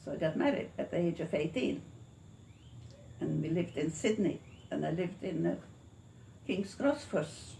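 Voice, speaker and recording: A woman in her eighties with a Latvian accent, recalling her life in an interview, haltingly and searching for words. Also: lowish voice, animated, gravelly, warm tone. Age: 80s. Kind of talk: oral history reminiscence. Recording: echoey room with faint noise.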